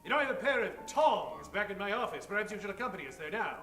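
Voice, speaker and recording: A man's voice: sits high, big pitch swings, fast talker, sharp tone, soft background music, exaggerated voice. A man playing a pompous, breezily self-assured wealthy amateur detective in improvised old-time radio comedy. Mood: intrigued, dramatic. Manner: pompous, theatrical. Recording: roomy live stage, distant mic, faint noise.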